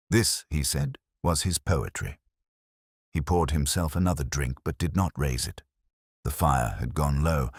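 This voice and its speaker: A man reading first-person scripted detective fiction in a measured, solemn, literary manner. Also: a bass voice, slightly rough, no echo, clean studio sound. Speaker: narrator of a detective story.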